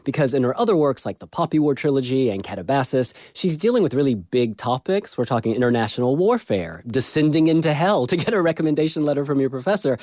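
Male critic, expressive pitch, mellow tone, dry studio; frank. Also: quick pace, few pauses, no room sound. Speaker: book critic. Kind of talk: book review on radio.

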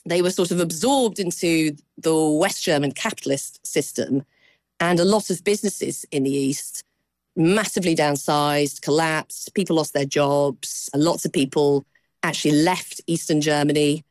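A woman correspondent explaining the news in a measured conversational way, with thinking pauses. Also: deep voice, darkish tone, no echo.

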